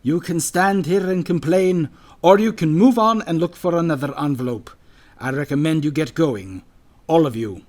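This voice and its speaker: A man voicing a brisk, commanding leader in a fantasy audiobook, curt and authoritative. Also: mid-range voice, darkish tone, breathy edge, natural voice. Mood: firm. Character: brisk, commanding leader ordering a crowd about.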